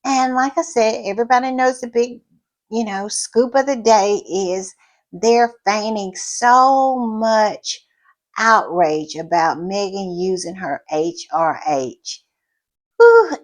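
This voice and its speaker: A woman hosting her own channel in casual chat, her voice froggy and hoarse, sounding tired and repeating her thank-yous. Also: mid-range voice, expressive. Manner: drawn-out, repetitive thank-yous and southern-style "y'all" asides. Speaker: channel host.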